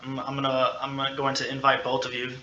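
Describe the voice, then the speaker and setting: Bright, forceful male podcast host in echoey room. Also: mid-range voice, monotone, brisk, lo-fi sound. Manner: playful, teasing hype. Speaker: host of a school sports podcast. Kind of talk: casual sit-down sports interview. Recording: roomy, echoey sound.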